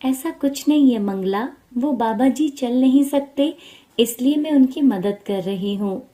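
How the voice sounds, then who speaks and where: A woman voicing a kind, helpful young village woman in a storybook tale, soft, gentle and polite. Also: sits high, measured pace. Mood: kind, reassuring.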